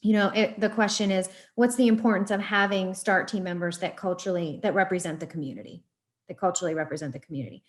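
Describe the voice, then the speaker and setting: A woman's voice: mid-range voice, fairly even intonation, fast talker. A woman moderating a panel on a video call, encouraging and loosely phrased.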